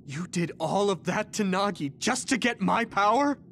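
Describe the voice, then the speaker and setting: A young actor voicing an earnest, indignant young hero in an anime-style video game, a principled and defiant younger brother. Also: male voice, high voice, lively intonation, moderate pace, vocal fry, noisy background. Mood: shocked. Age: young adult. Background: dramatic background music.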